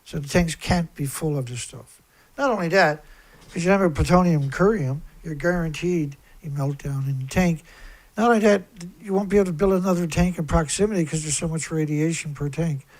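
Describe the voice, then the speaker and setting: Guy w a squeaky kinda high voice doing a rambly livestream monologue, blunt n fed up, repeats himself for emphasis. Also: fairly quick, a little mellow, low-quality recording. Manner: aggrieved, emphatic, repetitive, blunt. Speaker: live stream host, environmental activist. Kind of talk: rambling live-stream monologue on a serious topic.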